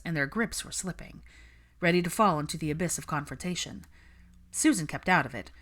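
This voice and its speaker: Female audiobook narrator, lowish register, very animated intonation, for fan fiction prose. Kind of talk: scripted story narration.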